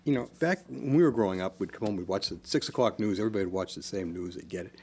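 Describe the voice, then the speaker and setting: Gravelly, husky man on a panel. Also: on the low side, rapid-fire, a little mellow. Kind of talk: panel discussion.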